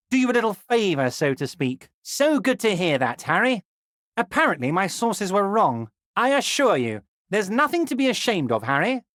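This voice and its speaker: An actor playing a scheming middle-aged union boss who flatters in a smug, drawn-out way, in a video game. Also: male voice, sits high, dry recording, studio quality. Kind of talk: video game character dialogue.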